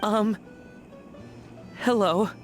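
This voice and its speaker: A man's voice: high-pitched, drawn-out words. An actor voicing a nervous, earnest male henchman in a video game, hesitant and pleading. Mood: nervous, apologetic. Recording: game music behind.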